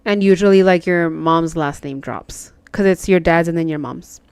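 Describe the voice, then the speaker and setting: A woman's voice: lowish voice, moderate pace, a little mellow, clean tone, no echo. A Mexican American woman whose first language is Spanish, chatting casually with her live chat while she stitches and laughing often. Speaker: cross-stitch livestream host.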